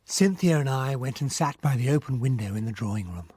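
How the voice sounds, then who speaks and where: An actor playing an earnest English army captain who narrates a radio mystery in a well-spoken, expressive way. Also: male voice, mid-range voice, fairly quick, noisy background, natural voice. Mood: wry disdain. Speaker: narrator and character in a radio drama. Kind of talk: first-person radio drama narration.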